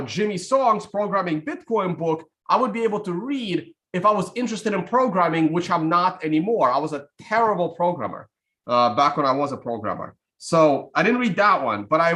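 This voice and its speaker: A man's voice: fairly high pitch, a bit bright. A man in his thirties whose first language is Russian, rambling off the cuff with many fillers and restarts while hosting a casual trading stream over a webcam video call. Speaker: trading show host. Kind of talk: casual live-stream chat. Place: home studio room, indoors.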